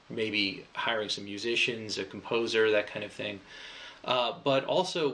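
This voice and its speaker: A man giving an informal crowdfunding pitch, explaining things in a loose, conversational way. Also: fairly bright tone. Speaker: project creator pitching a fundraiser.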